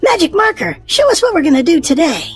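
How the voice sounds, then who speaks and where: A woman reading a storybook aloud to young children in an animated, sing-song way. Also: extremely high pitch, fast, faint music behind. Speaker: children's storytime host and reader.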